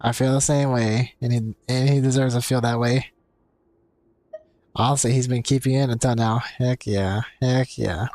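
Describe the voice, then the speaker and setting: A man's voice: mid-range voice, fairly even intonation, moderate pace, darkish tone, no echo. A man giving casual live commentary as he streams a game.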